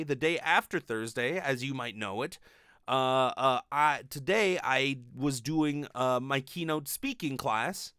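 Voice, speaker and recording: A man in his thirties giving a casual comic monologue as a podcast host, animated, rambling and sweary, close on a desk mic. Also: medium pitch, clean tone, dry recording. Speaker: podcast host, improv performer. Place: small bedroom, indoors. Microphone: desk condenser mic with pop filter, close.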